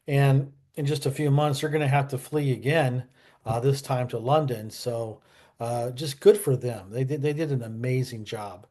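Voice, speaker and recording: Male history vlogger, mid-pitched, conversational and opinionated, colloquial phrasing with plenty of digressions. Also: fairly even intonation, normal speed, a little mellow. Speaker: history vlog host. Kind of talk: casual, conversational history commentary.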